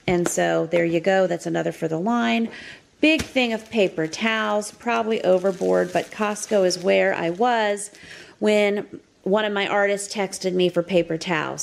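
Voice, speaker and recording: A woman's voice: medium pitch, gravelly. A woman talking casually and chattily as in a shopping haul vlog, listing items aloud. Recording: faint background noise.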